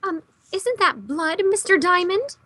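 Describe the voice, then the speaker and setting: High-pitched, fluttery schoolteacher played by a woman. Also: very animated, a little slow, bright, forceful tone, full character voice. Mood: prim, nervous.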